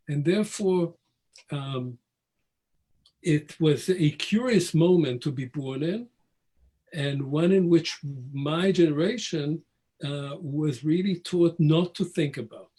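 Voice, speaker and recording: A man in his sixties talks as a history professor in a guest conversation. His voice sits a little high for a man. He speaks in a measured, reflective way and often restarts his phrases mid-thought. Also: warm tone, slightly rough. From Israel. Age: 60s. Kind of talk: conversational academic talk.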